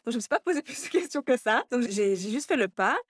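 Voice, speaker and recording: A woman, a guest chatting in an interview in a lively way, with many hesitations. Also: a little high, fast talker, no room sound, clean studio sound. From Belgium. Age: 20s. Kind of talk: casual interview chat.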